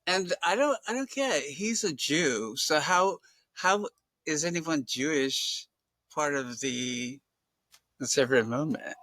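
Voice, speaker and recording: Talk show host, unhurried delivery, casual chat, close dry sound. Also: mid-range voice, lively intonation, a bit bright, no room sound.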